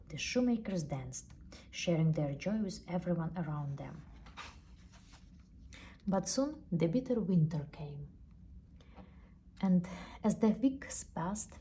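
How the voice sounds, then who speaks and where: A woman reads a children's storybook with a non-native English accent. Her tone is mellow and very animated, with faint noise behind it. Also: on the low side. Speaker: storybook reader. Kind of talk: expressive children's storybook narration.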